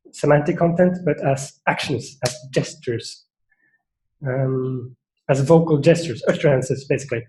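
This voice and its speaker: A man's voice: fairly high pitch, warm tone, low-quality recording. A man with a Norwegian accent giving an academic seminar talk, thoughtful and hesitant, with many fillers and restarts. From Norway. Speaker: seminar speaker.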